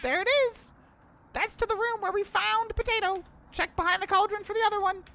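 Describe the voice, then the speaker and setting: A woman's voice: very high-pitched, steady tone, fairly quick, bright, forceful tone, exaggerated voice. A woman voicing a bossy, perky little cabbage creature in a video game, chirpy and childlike. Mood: bossy, cheery. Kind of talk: visual novel game character acting. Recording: game music behind the voice.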